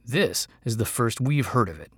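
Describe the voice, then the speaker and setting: A man's voice: lowish voice, normal speed, no echo, clean studio sound, natural voice. A man voicing a proud, suspicious knight with a cold, stern, accusing manner. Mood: cold suspicion.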